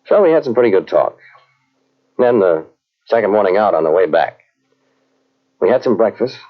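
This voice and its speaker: Folksy American cavalry sergeant played by a male actor: low-pitched, animated, earnest and deferential, old radio drama on muffled tape. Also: normal speed, lightly acted. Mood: nostalgic, reminiscing. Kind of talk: old-time radio drama acting and narration.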